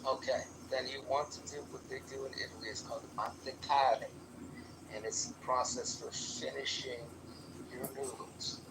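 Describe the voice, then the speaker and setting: A man's voice: medium pitch, steady tone, normal speed, creaky, heavy background noise. A man on a group call patiently explaining how something is done. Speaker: call participant.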